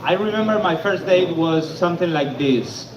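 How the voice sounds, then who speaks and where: High-voiced stammering stand-up man from Argentina. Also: crowd noise. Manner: halting, stammering delivery with deadpan punchlines. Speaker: stand-up comedian. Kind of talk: stand-up comedy set. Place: comedy club stage. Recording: echoey club, lo-fi, noisy.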